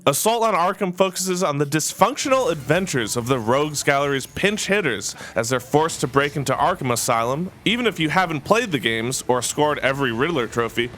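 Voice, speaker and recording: Male entertainment narrator, scripted review read, pitched a little high, slight background noise. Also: sharp tone. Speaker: video narrator, film list presenter.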